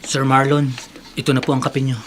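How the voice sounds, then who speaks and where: A man's voice: medium pitch, monotone, fairly quick, over music, lightly acted. A Filipino man playing a humble, dutiful young assistant in a radio drama. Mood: deferential.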